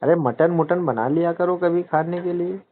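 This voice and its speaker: A man's voice: a little high, moderate pace, a little hiss or hum. A man arguing over a phone call, lecturing and scolding his partner. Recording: tinny phone line.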